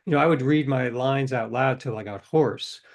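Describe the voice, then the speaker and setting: A man's voice: mid-range voice, normal speed, vocal fry. A middle-aged man, a writer being interviewed, telling stories in a reflective, anecdotal way. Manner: reflective, anecdotal, hesitant restarts. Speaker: guest author. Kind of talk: interview storytelling.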